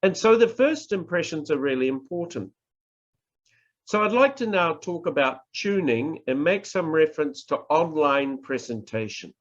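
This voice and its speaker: Kiwi guy giving an online lesson, slightly high voice, really deliberate and emphatic, dull lo-fi call audio. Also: animated. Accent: New Zealand. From New Zealand. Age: middle-aged. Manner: deliberate, emphatic, with pauses and repetition. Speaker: professor teaching public speaking. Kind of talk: instructional online lecture. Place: indoors, online presentation. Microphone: video call microphone. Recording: muffled lo-fi video-call audio.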